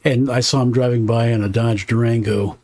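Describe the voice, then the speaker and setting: Mellow man making easygoing remarks. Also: medium pitch, steady tone, brisk, a little hiss or hum. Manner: brief, easygoing remarks and interjections. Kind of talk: casual chat.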